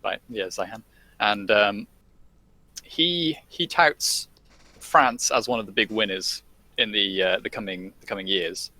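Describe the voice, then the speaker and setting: Podcast guest: low-pitched man with a bright, forward tone, halting delivery full of "um" and "you know". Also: no echo, low-quality recording. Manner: hesitant, many fillers and restarts. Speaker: guest commentator. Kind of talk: casual podcast discussion.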